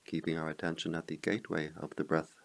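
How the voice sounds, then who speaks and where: A man leading a guided meditation as a teacher, speaking in slow, measured phrases with long pauses. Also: rumbling low, monotone, gravelly.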